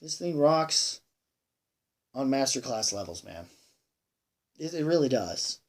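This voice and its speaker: A man giving a casual, unscripted movie review, rambling and halting, repeating himself emphatically and sounding a bit tired. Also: mid-range voice, sing-song. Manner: sweary, emphatic. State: tired, sighing.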